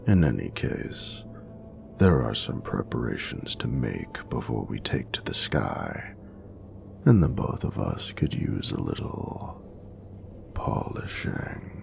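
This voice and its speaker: A man voicing an ancient, regal dragon in a romantic audio roleplay, doting and flirtatious, with gravelly, breathy, often near-whispered, grandiose and formal speech. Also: a bass voice, big pitch swings, a little mellow, slight background noise, full character voice. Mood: fond, playful. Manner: grandiose, formal, measured phrasing. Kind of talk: romantic audio roleplay, slow intimate monologue.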